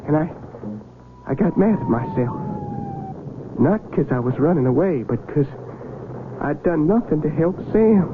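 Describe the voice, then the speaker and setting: An actor playing a frightened, guilt-ridden young Civil War soldier in an American radio drama, with rustic, halting speech. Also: male voice, on the high side, expressive. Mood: tense, harrowed. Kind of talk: 1970s radio drama acting. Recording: muffled vintage radio, heavy battle noise.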